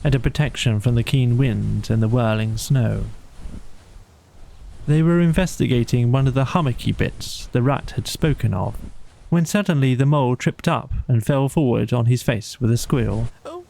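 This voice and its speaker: A male audiobook narrator reading a bedtime story. His voice is mid-pitched and mellow with a gravelly creak, recorded dry. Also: normal speed, poor audio.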